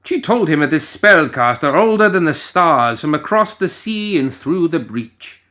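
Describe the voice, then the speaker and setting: A man reading a scripted story as narrator, in a theatrical, ominous manner with lingering pauses. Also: a little high, lively intonation, bright, forceful tone.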